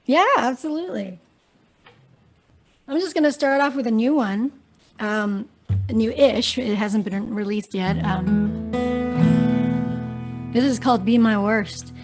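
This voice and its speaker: A woman's voice: a little high, takes it slowly, long pauses, faint music behind. A woman singer-songwriter, born in the Philippines and raised in New Jersey, chatting casually as a guest and laughing often. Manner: laughs often, relaxed and self-deprecating. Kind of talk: casual chat.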